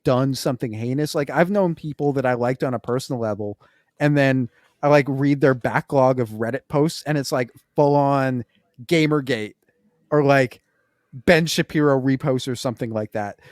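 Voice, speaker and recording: A man's voice: medium pitch, normal speed, darkish tone, no room sound, clean studio sound. A man co-hosting a podcast, telling stories in a loose, conversational way with plenty of fillers and casual swearing. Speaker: podcast co-host.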